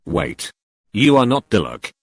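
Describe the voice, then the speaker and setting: A man's voice: mid-range voice, wildly swooping pitch, a little slow, creaky, husky. A man giving casual, playful gameplay commentary in a gushing, theatrical manner. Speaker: game streamer. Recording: game music behind the voice.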